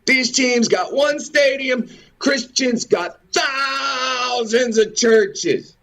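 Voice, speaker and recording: A man with a high-pitched voice gives an impassioned, informal commentary. He takes his time over the words, leaning on repetition, pointed rhetorical questions and curses as he rants. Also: drawn-out words, fairly bright tone. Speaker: host of a religious and historical commentary show.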